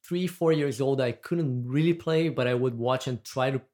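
A man's voice: mid-range voice, moderate pace, darkish tone. Chatty man with a Brazilian accent. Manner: chatty, rambling, with frequent restarts and laughs. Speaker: podcast guest. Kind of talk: casual chat.

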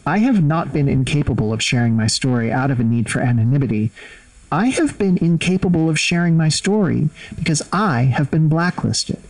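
Set slightly back from the mic, a principled journalist delivers formal testimony for an audio drama. His voice is on the higher side, with measured and precise phrasing. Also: lively intonation, darkish tone, no room sound, recorded from a distance, rough recording. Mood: grave, resolute.

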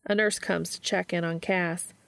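A woman's voice: on the low side, steady tone, normal speed, gravelly, a bit bright, smooth, no echo. A woman narrating a fiction audiobook from a script.

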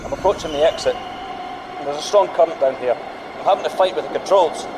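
An actor playing a curious, cheeky astronaut explorer, informal and wry, in a sci-fi audio drama. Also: male voice, on the high side, fast talker, noisy background, natural voice. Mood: anxious, urgent. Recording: music behind the voice. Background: music.

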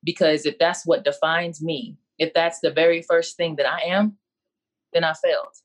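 A woman's voice: low voice, fairly even intonation, moderate pace. A woman coach speaking as a panelist on a video call, earnest and preacherly, repeating her key phrases. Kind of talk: impassioned faith-based speech on a video call.

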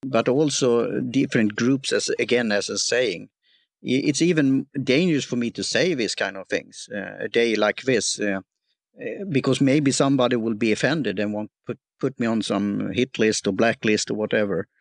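Guy hosting a chat show, gravelly voice, stops and starts a lot, dry close sound. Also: mid-range voice, no room sound. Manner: halting, searching for words, many uhs. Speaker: talk show host. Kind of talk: casual interview chat.

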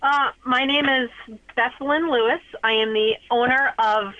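A woman farrier talks over the phone, rambling through her points with lots of fillers. Her voice sits fairly high and comes through thin and tinny. The sound is dry, with no room around it. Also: fairly even intonation, moderate pace, no room sound. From New Hampshire. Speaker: farrier, hoof care provider. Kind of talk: phone interview.

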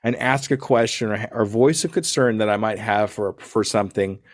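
A man's voice: on the low side, moderate pace, no echo, studio quality. A man hosting a podcast interview, chatty and rambling with frequent restarts. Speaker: podcast host. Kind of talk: casual interview chat.

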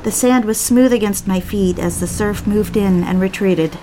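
An actress playing an adoring, playful newlywed wife in a romantic audio drama, with tender, coy, rather formal delivery. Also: female voice, medium pitch, normal speed, warm tone, slight background noise, natural voice, poor audio. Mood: wistful narration. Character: adoring, teasing young newlywed wife on honeymoon. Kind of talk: romantic audio drama dialogue and first-person narration. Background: music.

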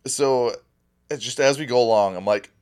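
A man's voice: mid-range voice, moderate pace, darkish tone, clean tone. A man co-hosting a movie review podcast, reading off his notes with sarcastic, profane remarks. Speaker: podcast co-host. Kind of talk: casual movie review chat.

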